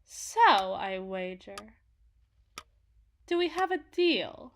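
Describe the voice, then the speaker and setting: A woman's voice: medium pitch, big pitch swings, extremely slow, fairly bright tone, a little hiss or hum, exaggerated voice. A woman voicing a powerful, possessive demon in a theatrical ASMR roleplay, speaking formally and imperiously like a coldly seductive deal-maker. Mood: coaxing. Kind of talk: ASMR roleplay, dramatic monologue.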